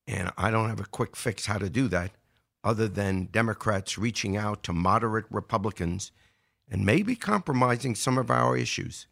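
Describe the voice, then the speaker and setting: Low-voiced man giving earnest political commentary. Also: expressive, moderate pace, vocal fry, fairly bright tone. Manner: earnest, emphatic, repeats key points. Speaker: show commentator. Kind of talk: political commentary before a live audience.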